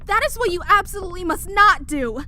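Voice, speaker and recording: A woman's voice: very high-pitched, lively intonation, fairly quick, bright, forceful tone, heavily acted. A woman playing a young alien princess, speaking formally with earnest, poised emphasis. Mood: pleading, firm. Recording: faint background noise.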